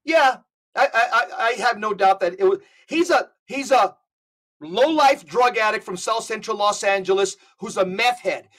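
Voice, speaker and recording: A man speaking as a podcast guest, emphatic and animated as he tells his stories. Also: high-pitched, normal speed. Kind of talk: casual conversational podcast.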